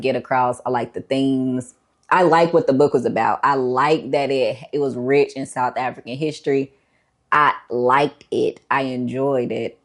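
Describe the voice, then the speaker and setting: Woman, notably low pitch, casual vlog review style, conversational, emphatic, repeats herself for emphasis. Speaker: book review vlogger. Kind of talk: casual chatty book review.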